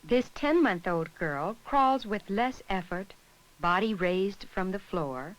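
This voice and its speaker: A woman reading scripted narration for an educational film in a measured, instructional way. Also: mid-range voice, lively intonation, rough recording.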